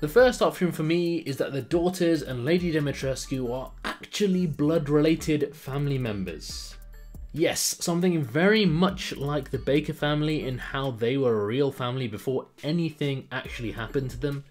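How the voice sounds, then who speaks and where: A man's voice: a little high, moderate pace, faint music behind. A man hosting a gaming channel, narrating a scripted video essay with enthusiastic, emphatic presenter phrasing. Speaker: YouTube gaming channel host.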